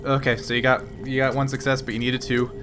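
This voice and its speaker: A man acting as game master, describing a creature's slow advance to his players. He talks in loose, halting bursts full of fillers and restarts. Music plays clearly beneath his voice. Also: medium pitch, flat delivery. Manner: halting, filler-heavy narration with frequent restarts. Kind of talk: narrating a tabletop game.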